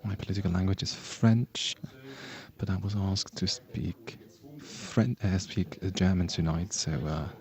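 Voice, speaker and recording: A male parliamentarian whose first language is Italian, answering on a panel and searching for words in a halting, apologetic way. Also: deep voice.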